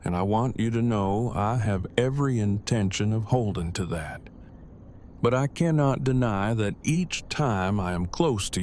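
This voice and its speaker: A man's voice: on the low side, a little hiss or hum, close to an everyday voice. An actor playing a shy, earnest man in love, speaking in stiff, wordy, formal phrases in an audio drama.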